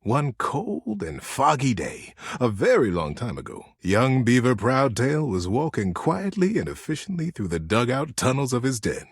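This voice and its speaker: A man narrates a bedtime tale with tender theatricality. His pitch swings widely, his voice has a husky edge, and the sound is dry and intimate. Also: sing-song, moderate pace, few pauses, no echo. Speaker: voice actor narrating bedtime stories in a game. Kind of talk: dramatic storybook narration.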